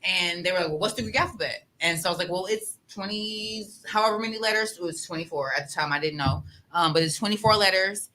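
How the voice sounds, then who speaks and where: Low, bright-toned woman telling a story. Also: low-quality recording. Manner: quick, animated storytelling. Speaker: guest, former teacher. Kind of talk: casual storytelling.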